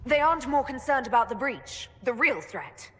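A woman's voice: high-pitched, big pitch swings, moderate pace, fairly bright tone, big-room sound. An actress playing a wry female hero who talks in a dry, sarcastic way.

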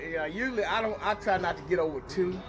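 A man's voice: sits high, fast, music in the background. A man chatting in a folksy, agreeable way outdoors, caught off-mic by another man's phone. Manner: folksy, agreeable, repeats "I'm telling you". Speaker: fellow storage unit buyer. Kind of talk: casual chat. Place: outdoors at a storage facility. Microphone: picked up off-mic by another man's phone.

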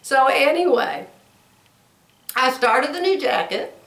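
Hoarse chatty older woman, roomy sound. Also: sits high, sing-song, takes it slowly, fairly bright tone, recorded from a distance. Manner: chatty storytelling, acts out quoted voices. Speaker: sewing vlogger. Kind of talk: casual chat to camera. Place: bedroom sewing room, indoors. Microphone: camera across the room. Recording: roomy, from off mic.

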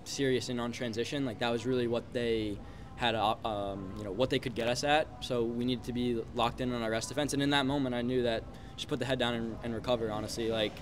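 Fast-talking young soccer player in a scrum. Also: male voice, medium pitch, fairly even intonation. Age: 20s. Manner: quick, repeats words, casual fillers. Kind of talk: post-game media scrum. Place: busy stadium mixed zone, indoors. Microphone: reporters' phones and handheld mics held close. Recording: loud background chatter noise.

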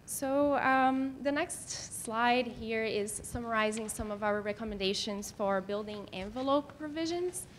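A woman in her thirties giving a formal, explanatory slide presentation at a podium microphone in a public meeting room, speaking a little haltingly. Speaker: city planner presenting. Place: meeting room in a civic building. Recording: clear background noise with low rumble.

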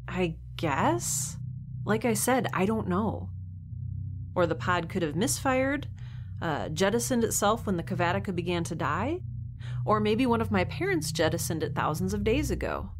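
A woman's voice: medium pitch, very animated, moderate pace, noisy background, natural voice. An actress playing a sarcastic young woman stranded in space, recording an audio log. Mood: defensive. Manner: wry, sarcastic. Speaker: narrator of her audio log. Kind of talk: audio drama acting.

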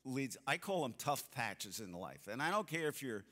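A man in his seventies giving a church seminar talk, a folksy, animated storyteller who acts out the people in his stories. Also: medium pitch, moderate pace. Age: 70s. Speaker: seminar speaker. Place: church sanctuary stage, seated. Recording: long hall reverb from the sanctuary.

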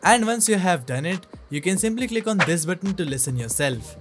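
A man narrates a scripted software tutorial in the manner of an online reviewer. His voice has an ordinary range, and music plays clearly behind him. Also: high-pitched, lively intonation, fairly quick.

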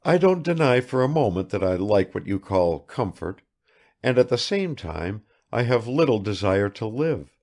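A man's voice: fairly low pitch, big pitch swings, normal speed, a little mellow, voice pushed up. A man voicing a proud, world-weary young aristocratic woman in a languid, searching manner for an audiobook. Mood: unhappy, proud. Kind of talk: dramatised literary audiobook dialogue.

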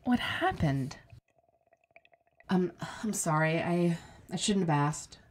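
A woman's voice: lowish voice, expressive, slow pace. An actress playing a bitter, wry woman kept alive as a severed head in an audio drama, questioning and plaintive. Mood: startled, apologetic.

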